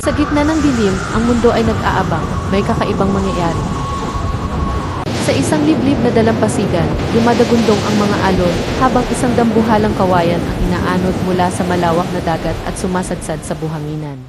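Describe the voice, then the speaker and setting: A woman narrating a folktale from a script in a dramatic, deliberate storytelling manner. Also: mid-range voice, loud music behind the voice. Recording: clear background noise, nature sound effects.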